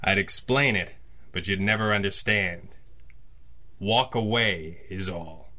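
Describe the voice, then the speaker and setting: An actor playing a gruff, cynical police lieutenant, clipped and dismissive in radio drama style. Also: male voice, low-pitched, slow pace, pushed and bright, heavily acted. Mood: gruff, warning. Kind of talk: old-time radio cop drama acting.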